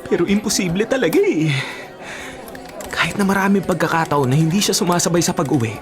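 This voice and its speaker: A woman playing an anxious, loyal young friend with a Filipino accent, in dramatic, halting radio drama acting. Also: lowish voice, animated, lightly acted. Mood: worried, disbelieving. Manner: dramatic, halting when distressed, breathless exclamations. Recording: loud dramatic music behind. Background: loud music.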